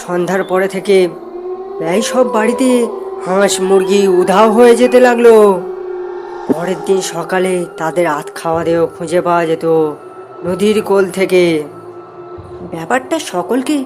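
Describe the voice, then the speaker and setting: A woman's voice: medium pitch, moderate pace, long pauses, music in the background. A woman giving dramatic, scripted narration for a cartoon fairy tale. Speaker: storyteller.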